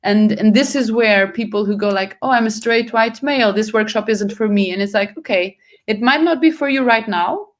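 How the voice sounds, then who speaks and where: A woman's voice: medium pitch, moderate pace. A woman from Portugal, not a native English speaker, answering as a guest on a panel in an earnest way, often restarting and correcting herself mid-sentence.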